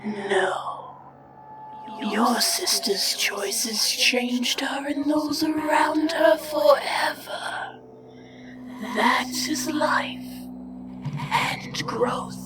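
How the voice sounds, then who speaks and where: A woman voicing an ancient, calm, otherworldly bog entity in a measured, breathy whisper for a fantasy story. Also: high-pitched, recorded from a distance, over music. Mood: calm, implacable. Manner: measured, detached, cryptic. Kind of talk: audiobook character acting.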